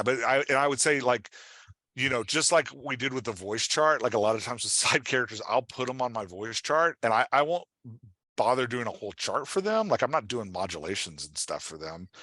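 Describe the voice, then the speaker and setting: A man's voice: lowish voice, bright, forceful tone, no room sound. A man working as a writing coach, answering questions in an informal, emphatic, conversational way with casual examples.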